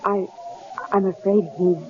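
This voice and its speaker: A woman's voice: medium pitch, flat delivery, unhurried, close to an everyday voice. A woman acting an anxious, bewildered young wife in a radio drama, speaking earnestly with hesitant stammers. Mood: frightened, urgent. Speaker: actor. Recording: dull, noisy vintage radio drama recording.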